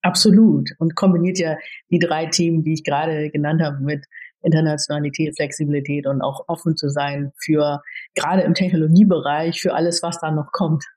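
A woman in her forties, born in the Philippines and raised in Germany, answering interview questions in a measured, thoughtful way with frequent fillers. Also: low voice, steady tone, darkish tone. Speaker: podcast guest, tech executive.

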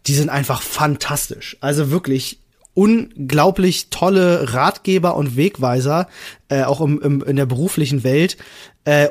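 A man co-hosting a podcast in casual chat, talking enthusiastically and leaning on emphatic, repeated intensifiers. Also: fairly high pitch. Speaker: podcast co-host.

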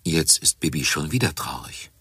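A man playing a solemn, sympathetic narrator in a children's audio drama, with a husky voice. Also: rumbling low, sing-song, normal speed, pushed and bright, dry recording, heavily acted.

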